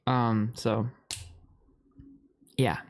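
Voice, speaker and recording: A man's voice: mid-range voice, flat delivery, extremely slow. Rambling, playful man in boyfriend roleplay.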